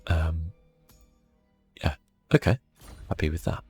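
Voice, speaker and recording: A man speaking softly and casually, thinking aloud as he gives ASMR commentary on a video game. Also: very deep voice, lively intonation, takes it slowly, breathy edge, soft background music. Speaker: gaming channel host.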